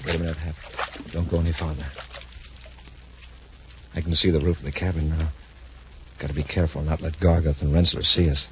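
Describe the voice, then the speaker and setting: An actor playing a steady, resolute space patrol commander with an authoritative manner in a 1950s radio adventure serial. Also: male voice, a bass voice, brisk, long pauses. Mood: cautious, decisive. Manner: authoritative, clipped orders. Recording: muffled, faintly noisy 1950s radio recording.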